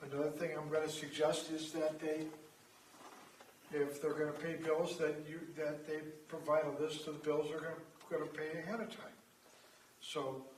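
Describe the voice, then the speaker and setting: A man calmly explaining suggestions at a village meeting. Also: a little high, slight background noise. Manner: calm, explanatory, measured. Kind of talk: reasoned public comment. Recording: roomy sound, mic far off.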